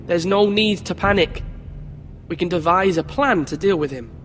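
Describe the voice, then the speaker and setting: A high-voiced, earnest young lord in a fantasy game drama. He speaks with formal, commanding resolve. Long hall reverb surrounds him, with faint music underneath. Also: normal speed, fairly bright tone. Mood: measured, resolute. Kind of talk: dramatic video game acting.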